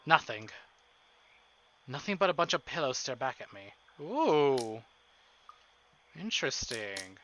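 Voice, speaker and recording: A man's voice: mid-range voice, very animated, slow pace, long pauses, fairly bright tone. A man live-streaming a visual novel, reading it aloud dramatically with casual, joking, sweary asides, over music. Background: game music.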